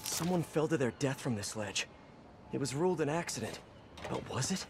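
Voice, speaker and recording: An actor playing an earnest young superhero in a video game, thinking aloud in a wry, worried way. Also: male voice, mid-range voice, lively intonation, moderate pace, noisy background, lightly acted. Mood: musing. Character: young male web-slinging hero, earnest. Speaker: game protagonist. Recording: game audio with music.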